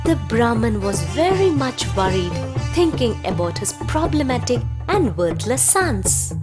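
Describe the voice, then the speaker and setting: High, expressive woman storyteller, unhurried. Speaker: narrator. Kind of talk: scripted children's story narration. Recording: music under the voice.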